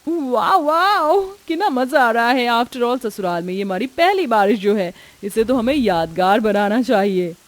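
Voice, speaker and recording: A woman voicing a brash, playful, outspoken young daughter-in-law in a story, with a lively manner. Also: on the high side, measured pace, hardly any pauses, dry recording. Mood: excited.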